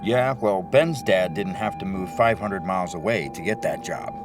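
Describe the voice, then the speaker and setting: Guy doing a weary dad for an audiobook, really low voice, earnest and a bit stern, music playing behind. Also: normal speed, close to an everyday voice. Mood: defensive, firm. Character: weary working-class father, patient but stern. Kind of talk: dramatised audiobook dialogue.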